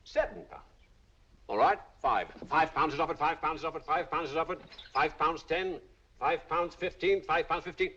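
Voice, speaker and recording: An actor playing a brisk British auctioneer with persuasive, repetitive sales patter in a vintage film. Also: male voice, fairly high pitch, bright, forceful tone, a little hiss or hum. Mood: brisk, coaxing. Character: brisk, showy London auctioneer, smooth salesman. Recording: dull vintage film soundtrack.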